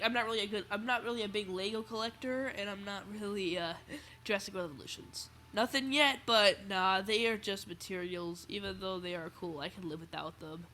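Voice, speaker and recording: Stuffy-nosed teen guy, bright tone, rambling toy-collector chat; keep it loose and restart a lot. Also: high voice, a little hiss or hum. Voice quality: slightly raspy. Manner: rambling, restarts often, chatty. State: stuffy nose, under the weather. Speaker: toy collector video host. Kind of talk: casual livestream chat.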